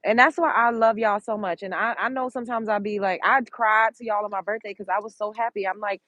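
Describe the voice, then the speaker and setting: A woman's voice: mid-range voice, steady tone, fairly quick, a bit bright, dry recording. A congested woman from Brooklyn, chatting bluntly and profanely on a live stream, outdoors on a street, reading viewer comments. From Brooklyn, New York.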